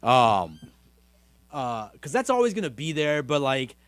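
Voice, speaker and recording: A deep-voiced young man with a drawl hosts a rambling, jokey podcast chat. His pitch swings around a lot as he talks. The sound is close and dry, with no room echo. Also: sing-song, measured pace, fairly bright tone, clear, resonant tone. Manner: rambling, jokey, many fillers. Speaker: podcast host. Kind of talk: casual chat.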